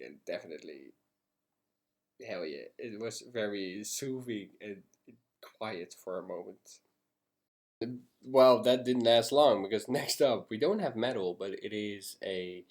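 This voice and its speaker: A man's voice: lowish voice, darkish tone. A young man whose first language is Dutch, casually rambling through an album review vlog with many restarts and the odd curse. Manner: loose, rambling, with frequent restarts and swearing. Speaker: music reviewer.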